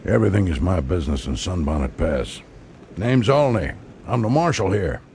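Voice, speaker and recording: A man's voice: low-pitched, sing-song, normal speed, vocal fry, darkish tone, a little hiss or hum, full character voice. Domineering frontier lawman, curt and menacing, with very varied intonation. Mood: smug menace. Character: cold, domineering small-town Western marshal. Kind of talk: dramatized Western audiobook acting.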